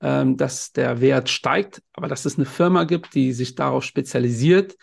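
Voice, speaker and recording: A man's voice: mid-range voice, moderate pace, vocal fry. A male podcast host who chats, jokes and hesitates a lot while introducing his guest. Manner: chatty, joking, many hesitations. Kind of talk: casual interview talk.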